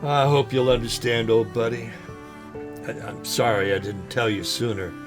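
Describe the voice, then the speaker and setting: A man playing a sentimental, ailing old fisherman in an audio drama, speaking in a halting, folksy way. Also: medium pitch, over music, lightly acted. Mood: sad, apologetic. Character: ailing old man, sentimental lifelong fishing buddy, gently joking.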